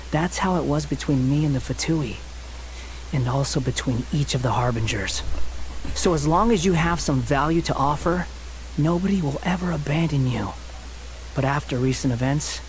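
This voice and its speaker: A man's voice: fairly high pitch, natural voice, low-quality recording. A man playing a cynical, smug young villain in a video game, sardonic and mockingly polite. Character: cynical, smug young male villain, a fallen former henchman. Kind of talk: video game character acting. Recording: game audio with background noise.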